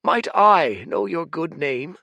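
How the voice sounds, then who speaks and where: Storybook old man, humble and formal, talks up high with courtly politeness, voiced by a male narrator. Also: very animated, slow pace, bright, forceful tone, no room sound, heavily acted. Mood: courteous.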